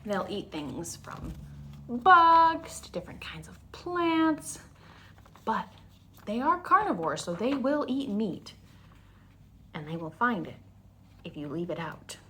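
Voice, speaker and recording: A woman's voice: medium pitch, big pitch swings, slow pace, long pauses, a bit bright, slight background noise. A woman hosting a story time for young children, explaining things in simple, instructional phrases.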